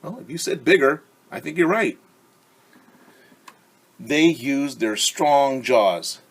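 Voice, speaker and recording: A male teacher reads a picture book to little kids in a lively, animated way. His voice sits in a middle pitch. He keeps pausing to explain and to ask the children questions. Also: slightly rough. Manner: playful sound effects. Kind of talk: children's story time read-aloud.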